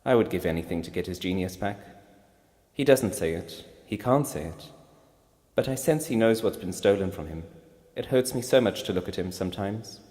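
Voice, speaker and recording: A man's voice: sits low, lively intonation, normal speed, darkish tone. An impassioned storybook wife , acted out by a man, proud and defiant through her anguish. She speaks emphatically, her voice trembling with feeling as she stands up for the husband she loves. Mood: pained, determined. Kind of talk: dramatic storybook character reading.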